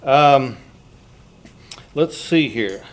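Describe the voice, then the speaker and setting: A man chairing a club meeting, giving informal announcements in a halting, rambling way. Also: medium pitch, expressive. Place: indoor club meeting room. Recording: faint background noise in meeting room.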